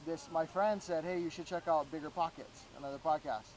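A man's voice: a little high, a little fast, slight background noise, rough recording. A man from Florida, a real estate agent, telling stories as a guest in a casual podcast interview and acting out what people said to him. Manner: animated storyteller, acts out quoted voices.

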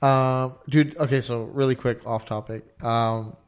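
A man's voice: medium pitch, fairly even intonation, unhurried, clean tone. Man, hesitant casual talker. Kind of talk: casual chat.

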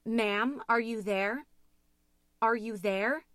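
A woman voicing a female emergency dispatcher who is trying to stay calm, firm and insistent with urgent questions. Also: on the high side, slow, dry recording, voice pushed up. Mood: rising urgency.

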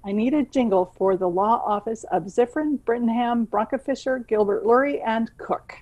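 Actress playing a proud, stubborn radio station boss. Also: female voice, on the high side, normal speed, warm tone, lightly acted, rough recording. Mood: brisk exasperation. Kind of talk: scripted radio comedy acting.

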